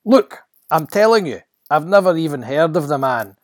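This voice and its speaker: A man voicing a flustered, hot-headed disciple in a Bible story, excitable and prone to stammering. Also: fairly high pitch, unhurried, pitched above the performer's own voice. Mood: exasperated.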